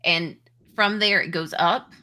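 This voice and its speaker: Female game master, lower register, bright delivery, casual rules explainer. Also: measured pace.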